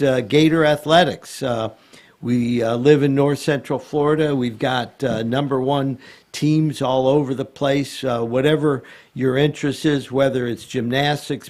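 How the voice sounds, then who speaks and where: Halting man hosting a talk show. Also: mid-range voice. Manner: halting, many uh fillers. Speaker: host and law firm founder. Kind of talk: casual talk show chat.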